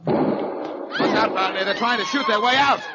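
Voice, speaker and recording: An actor playing a cocky, quick-witted amateur detective in a 1940s radio show, glib and teasing. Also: male voice, high voice, over a noisy crowd, close to an everyday voice. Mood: urgent. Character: wisecracking, confident male amateur detective hero, quick and cocky. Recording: dull old radio recording, audience noise.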